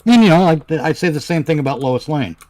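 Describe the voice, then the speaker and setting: A man chatting casually as a panelist, halting and repeating words as he thinks. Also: a little high, lively intonation, dark tone. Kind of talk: casual chat.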